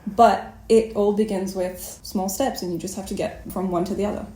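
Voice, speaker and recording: A woman's voice: medium pitch, fairly even intonation, normal speed, mellow, reverberant, poor audio. A woman hosting a conversational podcast interview, responding and asking questions. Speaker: podcast host interviewing filmmakers.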